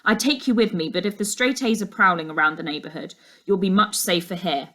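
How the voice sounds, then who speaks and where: A woman voicing a serious ex-detective uncle in a storybook, speaking in a measured, authoritative way. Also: medium pitch, pushed and bright. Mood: urgent, authoritative. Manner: measured, commanding, matter-of-fact.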